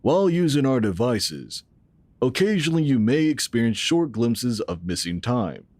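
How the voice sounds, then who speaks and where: An actor playing a calm, assured founder of a futuristic tech organization, explaining in a measured way in an audio drama. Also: male voice, medium pitch, dry recording. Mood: explanatory, assured. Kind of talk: scripted audio drama acting.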